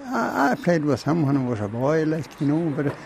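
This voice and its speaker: A man's voice: a little high, sing-song, brisk, vocal fry, a little mellow. An older retired miner chatting in an interview, rambling and restarting his words. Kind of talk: casual interview chat. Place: outdoors at a tournament venue.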